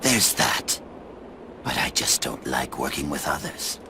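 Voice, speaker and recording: An actor playing a hard, cynical lone warrior in a video game cutscene, terse and menacing with a gravelly voice. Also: male voice, a bass voice, big pitch swings, measured pace, full character voice. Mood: cool, sardonic. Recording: noisy game cutscene audio.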